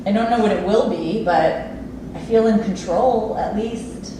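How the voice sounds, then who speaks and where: An actress in a staged play reading, playing a gentle, wistful immigrant housekeeper who speaks earnestly and haltingly. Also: female voice, medium pitch, natural voice. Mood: wistful, hesitant. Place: live staged reading in an echoey theater space. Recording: distant, very echoey noisy room recording.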